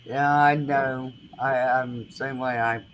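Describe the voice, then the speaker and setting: A woman's voice: very deep voice, fairly even intonation, takes it slowly, slight background noise. A middle-aged grandmother chatting casually, with homey, rambling talk and folksy grammar. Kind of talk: casual chat.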